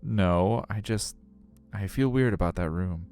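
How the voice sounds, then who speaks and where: A man's voice: lowish voice, measured pace, a little mellow, soft background music. A man voicing an uneasy, reluctant tween boy in a visual novel game. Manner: hesitant, reluctant.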